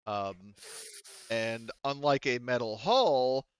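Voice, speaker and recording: A man improvising as game master of a tabletop game, thinking aloud in a halting, casually profane way. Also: mid-range voice, lively intonation, clear, resonant tone, no echo.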